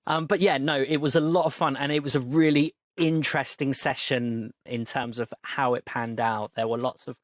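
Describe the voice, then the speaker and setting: A man hosting a tabletop game, rambling a little with frequent restarts and easy laughter. His middle-pitched voice is close and dry, as if recorded right at the mic. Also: fairly even intonation, moderate pace, hardly any pauses, no echo. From Britain. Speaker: game master and host. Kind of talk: narrating a tabletop game and chatting.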